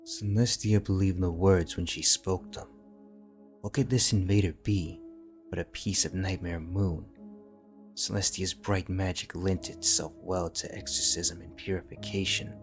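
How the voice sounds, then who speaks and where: A man narrating a fanfiction audiobook in a steady, measured storytelling voice. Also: deep voice, faint music behind.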